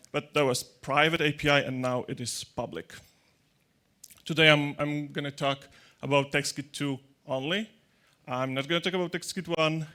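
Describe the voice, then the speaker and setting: A man's voice: medium pitch, bright, forceful tone. Chatty man giving a tech conference talk. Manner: joking, chatty, with hesitant restarts and non-native grammar. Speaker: conference speaker. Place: large dark conference hall with audience. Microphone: handheld mic.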